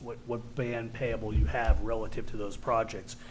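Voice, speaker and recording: A man's voice: fairly low pitch, little pitch movement, normal speed. A man methodically and precisely explaining a financial report at a public meeting. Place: town board meeting room. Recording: faint noise, public meeting room.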